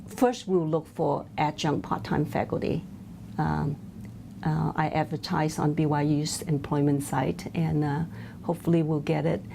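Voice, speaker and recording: A woman's voice: sits low, flat delivery, a little slow, vocal fry, warm tone, a little hiss or hum. A woman who runs a university language program, explaining it in an interview with fillers and restarts. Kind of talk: interview answers.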